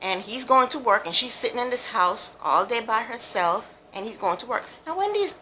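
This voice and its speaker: A woman's voice: on the high side, animated, moderate pace, sharp tone, slight background noise, poor audio. A woman from Jamaica giving a chatty, frank, opinionated recap of a reality show, with plenty of ums and asides. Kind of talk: casual monologue, opinionated recap.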